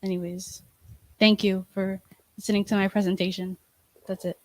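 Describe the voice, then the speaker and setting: A young woman giving a class presentation and answering questions, talking in a halting way with many restarts and fillers, into a handheld microphone in a bright meeting room. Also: mid-range voice, little pitch movement, dry recording. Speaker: student presenter.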